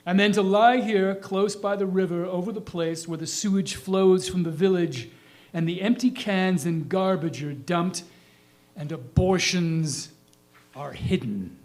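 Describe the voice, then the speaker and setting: A man's voice: high-pitched, measured pace, a little mellow. A man performing the dramatic monologue of a dead, bitter small-town newspaper editor in a declamatory, theatrical way. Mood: bitter, brooding.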